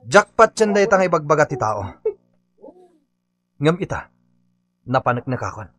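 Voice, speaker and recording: A man's voice: on the high side, big pitch swings, normal speed, a bit bright, dry recording. An actor in a radio drama playing a stubborn labourer who confrontationally demands his unpaid wages. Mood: angry, accusing. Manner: insistent, confrontational.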